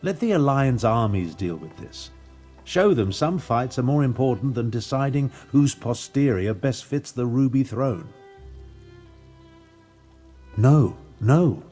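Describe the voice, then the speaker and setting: An actor playing a jaded, haughty old battlemage in a video game, pompous, weary and sardonic. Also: male voice, mid-range voice, expressive, a little slow, dark tone, soft background music. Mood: weary, cynical. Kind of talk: video game character dialogue.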